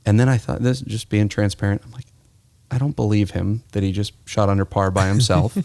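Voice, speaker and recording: A man's voice: fairly low pitch, fairly even intonation, brisk, a little mellow, dry recording. An American man hosting a conversational interview, speaking in a reflective, storytelling way. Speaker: podcast host.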